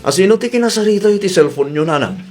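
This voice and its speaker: A man voicing a grown son who calmly helps his mother in a radio drama. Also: high voice, fast, over loud music. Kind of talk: Ilocano radio drama acting.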